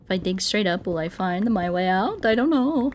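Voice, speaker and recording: A woman doing a casual gaming livestream, rambling playfully and thinking out loud as she chats with viewers. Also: medium pitch, lively intonation, normal speed, a little hiss or hum. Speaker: streamer playing Minecraft and chatting with viewers.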